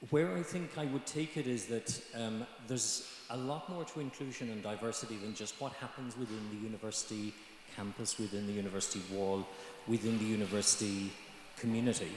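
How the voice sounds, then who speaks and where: Older Irish man, lowish voice, halting panel remarks, faint room hum, echoey hall. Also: fairly even intonation, darkish tone. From Ireland. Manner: measured, hesitant with frequent ums and restarts. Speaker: mature student panelist.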